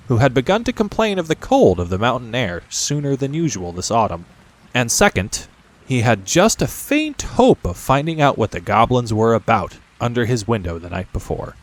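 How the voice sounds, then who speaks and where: A man narrating a classic storybook as a scripted audiobook reading. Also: medium pitch.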